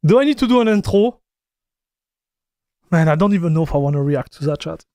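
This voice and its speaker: A man's voice: a little high, lively intonation, a little fast, dark tone, no echo. A man, chatting casually with his live-stream viewers, laughing often. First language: French. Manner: chatty, laughs often, talks straight to chat. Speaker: streamer reacting to tech news.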